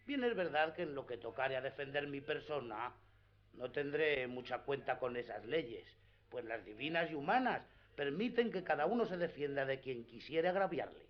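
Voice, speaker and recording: Peasant squire , male actor: lighter-pitched, deferential, cautious, earthy radio-play voice, a bit dull in sound. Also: lightly acted. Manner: deferential, plain-spoken, earnest. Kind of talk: radio drama acting. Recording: dull, muffled radio recording.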